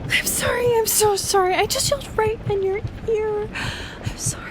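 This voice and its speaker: A woman's voice: very high voice, fairly even intonation, moderate pace. A young woman playing a shy, playful best friend in an intimate audio roleplay, talking casually and hesitantly. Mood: flustered, nervous. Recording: rain and thunder noise behind voice. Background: rain and thunder.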